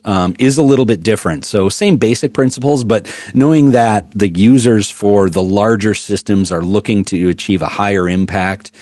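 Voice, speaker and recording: Low-voiced male product rep at a trade show, chatty and halting, dry sound. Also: a little mellow, no room sound. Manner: explanatory, many fillers and restarts. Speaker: product specialist. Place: busy trade show floor.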